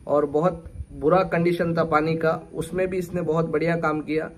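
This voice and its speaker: Chatty fishing vlogger man, fairly high voice. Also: level tone, moderate pace, slight background noise. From Maharashtra, India. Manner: chatty, keeps calling viewers "friends", mixes English phrases in. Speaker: fishing tackle shop owner and vlogger. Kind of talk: casual product talk to camera. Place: covered porch of a house, daytime.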